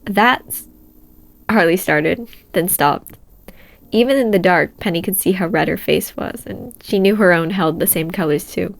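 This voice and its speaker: A woman narrates a story from a written text. Her pitch sits in the middle range for a woman, and she now and then trips slightly over the reading. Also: moderate pace, vocal fry. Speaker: fan fiction reader. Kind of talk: scripted story narration with character dialogue.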